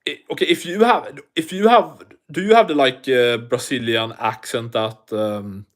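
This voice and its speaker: Man, thick foreign accent, livestream host, loose rambling talk, lots of swearing, mid pitch. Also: normal speed. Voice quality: thick non-native English accent. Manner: rambling, profane, restarts often. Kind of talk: casual livestream chat, answering viewer comments.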